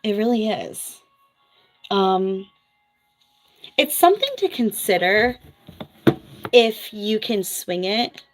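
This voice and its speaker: A woman's voice: medium pitch, lively intonation, soft background music. Chatty Philadelphia-accented woman. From US East Coast, New Jersey. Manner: chatty, frank, quick asides with laughs. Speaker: vlogger, former nurse. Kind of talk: casual livestream chat reading viewer comments.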